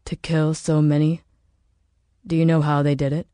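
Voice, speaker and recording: A woman's voice: deep voice, level tone, moderate pace, mellow, no room sound, natural voice. A woman voicing a troubled, disbelieving man who asks questions in an audiobook. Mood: uneasy, probing. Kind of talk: audiobook character dialogue.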